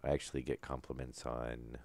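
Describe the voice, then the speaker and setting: A man calmly talking through a survival game as he plays, low-key and unhurried, with no put-on enthusiasm. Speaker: gameplay commentator. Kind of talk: casual let's-play commentary.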